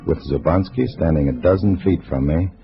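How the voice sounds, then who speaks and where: A man's voice: rumbling low, a little fast. An American man playing a tense undercover agent in a 1950s radio spy drama, terse and hard-boiled. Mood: tense narration. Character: tense undercover agent posing as a communist comrade. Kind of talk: 1950s radio drama acting and first-person narration. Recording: dull old radio recording with music.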